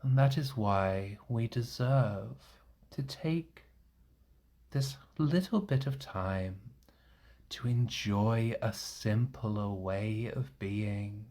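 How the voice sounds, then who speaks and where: A man leading a guided meditation in a gentle, reassuring manner, leaving long pauses between short phrases. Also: on the low side. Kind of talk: guided meditation, soothing instruction.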